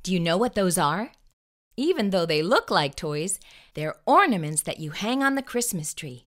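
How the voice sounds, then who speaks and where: Animated woman reading to young children. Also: lowish voice, normal speed. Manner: expressive, asks the listener questions, exaggerated emphasis. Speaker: children's storytime host. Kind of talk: read-aloud to young children. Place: small furnished room indoors. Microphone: lapel microphone.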